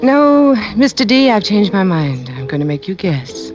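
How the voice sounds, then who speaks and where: Woman acting a seductive femme fatale, coy and scheming, lively swooping delivery, vintage radio drama, muffled sound. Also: mid-range voice, normal speed, faint music behind, natural voice. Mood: teasing, insistent. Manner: coy, insinuating. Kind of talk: 1940s-50s radio acting. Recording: muffled old radio recording.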